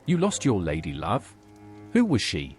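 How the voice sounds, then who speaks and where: A man's synthetic voice playing a polite, plain-spoken adventurer in a fantasy game, with a husky tone. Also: deep voice, huge pitch swings, measured pace, soft background music, full character voice. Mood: inquiring.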